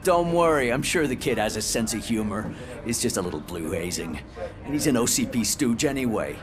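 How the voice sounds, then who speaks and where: A man's voice: medium pitch, lively intonation, brisk, natural voice. A man playing a cynical, teasing police officer in a video game, dismissive and needling. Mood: scornful, teasing. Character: cynical, teasing male veteran cop.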